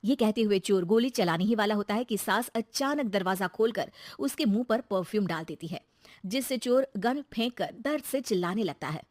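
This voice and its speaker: A woman's voice: medium pitch, lively intonation, normal speed, darkish tone, dry recording, clean studio sound. A woman reading scripted narration for a children's story as the storyteller.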